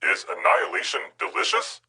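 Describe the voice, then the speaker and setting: Storybook robot: slow-witted and blunt, very low, gravelly, forceful. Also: male voice, expressive, heavily acted. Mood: stubborn, hungry. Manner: blunt, literal, childlike questioning. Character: dim, hungry, stubborn robot.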